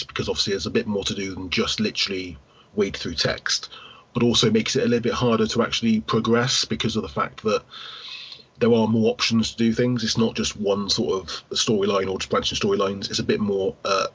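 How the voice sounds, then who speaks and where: Man, husky lowish voice, casual podcast co-host, rambling self-qualifying delivery, slightly lo-fi muffled recording. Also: fairly bright tone. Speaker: podcast co-host, game collector. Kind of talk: casual gaming podcast chat.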